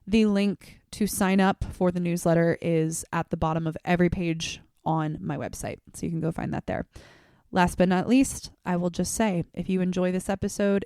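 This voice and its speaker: A woman's voice: lowish voice, darkish tone, smooth, no room sound, clean studio sound. A young adult woman hosting a solo podcast, chatting earnestly and welcomingly with casual fillers, her voice a little congested from a cold. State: slightly congested from a cold or allergies. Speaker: podcast host.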